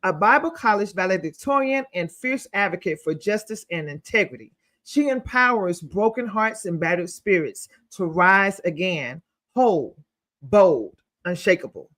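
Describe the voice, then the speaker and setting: Woman host, emphatic, reads guest intros with pauses for drama. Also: medium pitch, expressive, fairly bright tone. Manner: emphatic, pausing between words.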